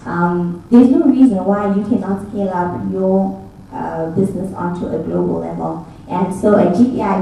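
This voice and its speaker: A woman giving a measured business presentation at a conference, with frequent fillers. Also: lowish voice, vocal fry, warm tone, a little hiss or hum. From Zimbabwe. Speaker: conference speaker. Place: large conference hall. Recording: echoey hall, mic from far off.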